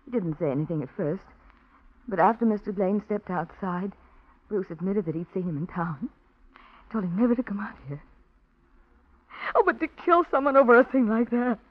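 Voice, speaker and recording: A woman's voice: medium pitch, animated, normal speed, pauses often, natural voice. A woman playing a nervous, stammering young wife. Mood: anxious. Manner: breathless, hesitant, stammering. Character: anxious young wife Julia. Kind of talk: 1940s radio acting. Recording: muffled old radio broadcast.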